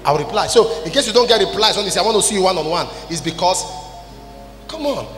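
A man's voice: high-pitched, lively intonation, quick pace. A Nigerian man in his forties preaching to a congregation in an emphatic, scolding way, in short declarative phrases. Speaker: preacher, apostle leading a church service. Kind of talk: sermon, addressing a congregation. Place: large church hall, on stage. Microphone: handheld microphone. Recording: echoey large hall PA, music behind.

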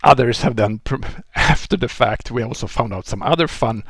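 A man in his forties with a Swedish accent, giving a relaxed technical lecture over a headset mic, often restarting and filling with "uh" and "you know". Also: mid-range voice, normal speed, no echo, clean studio sound. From Sweden. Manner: relaxed explaining, with frequent restarts and fillers. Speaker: presenter. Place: indoor room.

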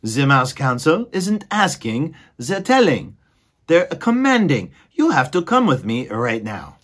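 A bossy young male mouse in a storybook, impatient and scolding as he nags his brother, voiced by a man reading aloud. The voice sits high and pushes hard, with a husky, breathy grain. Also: very animated, a little slow, fairly bright tone, heavily acted. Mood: exasperated.

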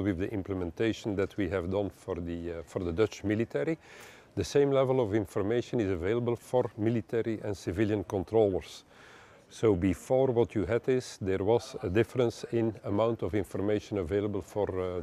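A man, giving measured explanatory interview answers in phrasing. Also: on the low side, dark tone. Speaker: head of engineering, interviewee. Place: large indoor operations room. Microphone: lapel mic.

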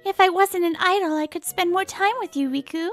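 A woman voicing a sweet, devoted, pouty teenage girl idol in a manga dub, with a cutesy expressive delivery. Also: extremely high pitch, a little fast, soft background music. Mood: affectionate.

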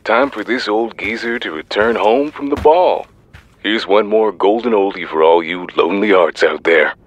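An actor playing a genial old late-night radio disc jockey in an audio drama. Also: male voice, lowish voice, normal speed, lo-fi sound, natural voice.

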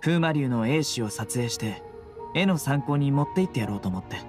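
An unflappable young game character, acted by a man, blunt and stoic. He talks in terse, deadpan phrases over prominent background music. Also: medium pitch, brisk, natural voice. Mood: stoic, calm. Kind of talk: Japanese game voice acting. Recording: music playing behind the voice.

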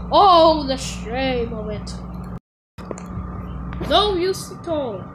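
A woman's voice: high voice, background noise. A woman voicing a boastful, pompous big blue steam engine from a children's cartoon, in a haughty, theatrical manner. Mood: indignant, despairing. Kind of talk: character voice reel, fan performance.

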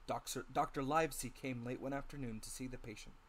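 A man narrating an audiobook as a dramatic reading, with deliberate, theatrical emphasis. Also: mid-range voice, fairly even intonation, slight background noise.

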